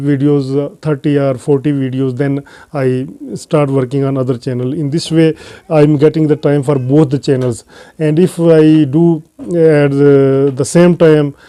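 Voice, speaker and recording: A man with a Pakistani accent hosting an English-learning channel, talking casually to camera and pausing often on "uh" fillers. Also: on the high side, dark tone, clean tone. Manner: hesitant, frequent "uh" fillers between phrases. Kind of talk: casual unscripted talk to camera.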